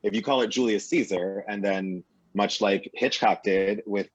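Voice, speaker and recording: A man's voice: on the low side, steady tone, normal speed, breathy edge, no room sound, muffled recording. A man speaking as a guest on a panel, analysing a play and building his points in emphatic parallel phrases.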